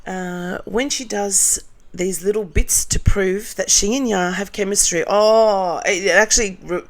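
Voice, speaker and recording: A middle-aged Australian woman with a nasal, croaky morning voice, reading and judging viewer comments in a blunt, sarcastic vlog. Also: mid-range voice, unhurried. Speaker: commentary vlogger.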